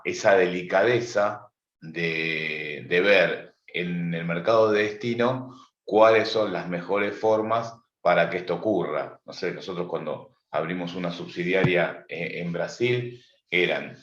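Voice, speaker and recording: Low-voiced argentine guy in a seminar chat, halting, hunting for words, muffled roomy audio. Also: fairly bright tone. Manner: halting, searching for words, repeats openings. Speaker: business manager sharing experience. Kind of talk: informal seminar discussion.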